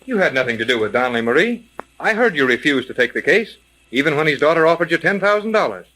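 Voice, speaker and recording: An actor playing a feisty, skeptical private detective's assistant in a 1930s radio mystery, with blunt, testy, slangy delivery. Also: male voice, fairly high pitch, very animated, fast, a bit bright, slight background noise, poor audio.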